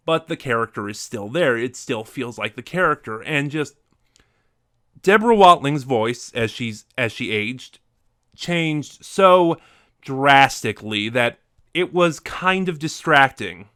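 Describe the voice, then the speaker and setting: A man giving a casual review to viewers, somewhat halting as he thinks aloud and drops in asides. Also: medium pitch, a bit bright, dry recording, studio quality. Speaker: reviewer ranking favorite audio dramas.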